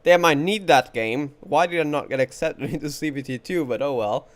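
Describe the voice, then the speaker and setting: A man's voice: on the high side, moderate pace. A man doing casual live commentary on a game playthrough, chatty and thinking aloud off the cuff. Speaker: game streamer. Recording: game music behind the voice.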